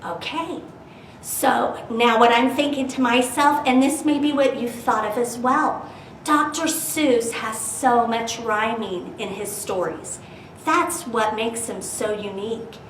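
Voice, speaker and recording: A woman leads a children's storytime in a fairly high voice. She speaks at an unhurried pace and addresses young listeners directly. The recording is roomy, picked up at some distance from the microphone. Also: steady tone. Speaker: library storytime host. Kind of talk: children's storytime talk and expressive read-aloud. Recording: roomy, distant mic with faint hiss.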